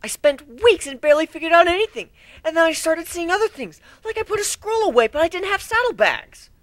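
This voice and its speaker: Guy doing a nerdy girl unicorn from a cartoon, flustered and earnest, pitched way up, bright. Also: expressive, brisk, no room sound. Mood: exasperated. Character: bookish, earnest, flustered young female unicorn.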